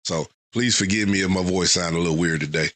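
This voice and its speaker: Guy with a head cold talking through an album review, kinda low voice, rambling with lots of "you know what i'm saying". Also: fast talker. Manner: loose, thinking aloud with many fillers. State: getting over a head cold. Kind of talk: casual reaction commentary.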